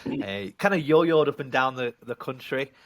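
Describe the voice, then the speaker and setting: A man in his thirties with a broad Yorkshire accent, chatting warmly and self-deprecatingly as a guest on a remote video call from home. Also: mid-range voice, normal speed. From England (Leeds). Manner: self-deprecating, animated storyteller. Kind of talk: casual interview chat.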